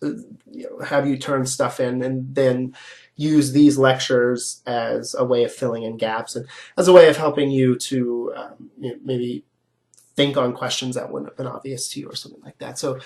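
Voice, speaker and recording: A male lecturer with a slightly stuffy nose walks students through ideas in a relaxed, explanatory way. His speaking voice sits at an ordinary middle pitch, and he checks in with the class as he talks. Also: moderate pace. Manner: casual and explanatory, with frequent "um" and "right?". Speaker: philosophy lecturer. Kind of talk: recorded online lecture.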